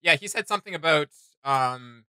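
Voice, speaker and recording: Enthusiastic man with a high, bright voice. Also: lively intonation, normal speed, smooth, no echo, clean studio sound. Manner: enthusiastic, emphatic. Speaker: reaction video host. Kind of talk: casual reaction chat. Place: small home room with computer desks, indoors.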